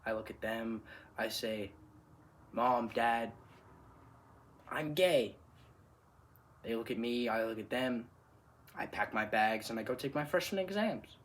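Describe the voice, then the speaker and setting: A man performing a dramatic monologue as a wounded, quietly bitter son, speaking in a subdued, earnest and deliberate way. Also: medium pitch.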